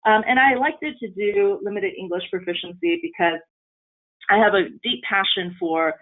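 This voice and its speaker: A woman's voice: medium pitch, normal speed. A woman from Taiwan whose first language is Mandarin Chinese, presenting a training webinar in an earnest way with frequent ums and restarts. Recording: tinny phone-line audio.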